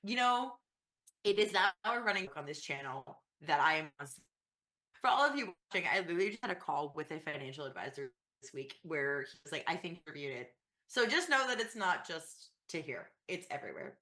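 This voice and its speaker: A woman's voice: sits low, animated, a little fast, sharp tone. A woman who is losing her voice, chatting casually to viewers as a player on a tabletop gaming stream. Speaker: cast member of tabletop game livestream. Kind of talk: casual, chatty self-introduction.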